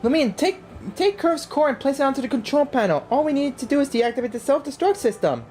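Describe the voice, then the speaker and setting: A woman voicing an earnest, excitable game companion who argues emphatically on the hero's side. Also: sits high, fast, pitched above the performer's own voice. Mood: urgent.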